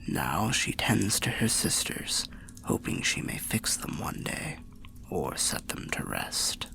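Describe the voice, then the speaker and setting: A man voicing an old undead soul telling fantasy tales in a musing, unhurried ASMR roleplay manner, low, gravelly and half-whispered. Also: very animated, full character voice, low-quality recording. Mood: somber. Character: old undead soul, genial storyteller by a bonfire.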